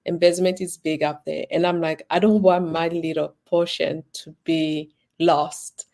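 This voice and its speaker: A woman's voice: sits low, little pitch movement, a little slow, vocal fry, warm tone. A woman born in Uganda and raised in Sweden, chatting as a guest in an interview, talking in loose rambling sentences. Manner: rambling, many restarts and "like"s. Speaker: guest, designer and business owner. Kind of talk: casual interview chat.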